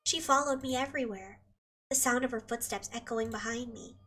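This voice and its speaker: Cursed teenage girl, unhinged, high bright voice, distant with music. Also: fairly quick, husky. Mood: obsessive. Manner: obsessive, repeating apologies, swinging from whisper to scream. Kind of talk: dubbed anime drama monologue.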